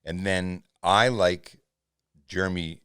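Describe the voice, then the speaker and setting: A man's voice: fairly even intonation, takes it slowly, a bit bright, clear, resonant tone, no echo, studio quality. A male podcast host, chatting casually with a guest. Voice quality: booming voice. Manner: frequent brief agreements, thoughtful. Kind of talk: casual interview chat.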